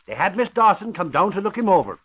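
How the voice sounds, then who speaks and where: An actor playing an excitable, talkative bodyguard in a 1940s radio drama, emphatic and eager as he tells things. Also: high-pitched, quick pace, slightly rough, heavily acted. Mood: excited, insistent. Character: excitable, talkative Irish ex-policeman bodyguard and sidekick. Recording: muffled old radio recording.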